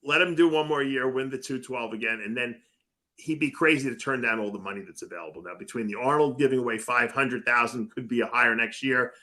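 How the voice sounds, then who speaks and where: A man hosting a casual talk radio show, telling stories in an animated way and acting out other people's voices. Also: medium pitch, a little fast. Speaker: host.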